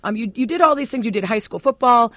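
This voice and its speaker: A woman hosting an interview, with a voice lowered by vocal cord surgery, chatty and affirming as she asks long questions. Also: lively intonation, fast talker, fairly bright tone, dry recording. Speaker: podcast host, interviewer. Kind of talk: conversational interview.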